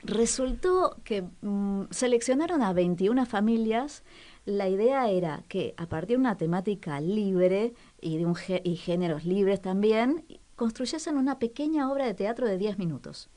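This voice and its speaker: A woman's voice: mid-range voice, lively intonation, moderate pace, warm tone. Woman chatting as a radio co-host. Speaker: co-host of a cultural radio show. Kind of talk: casual spoken radio segment.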